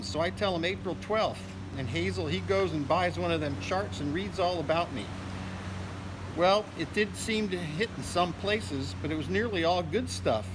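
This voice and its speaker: A man's voice: high voice, voice pushed up, lightly acted. A man voicing a folksy, wily, friendly working-class character from a novel, talking in loose, cajoling colloquial speech. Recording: noisy outdoor ambience with vehicles.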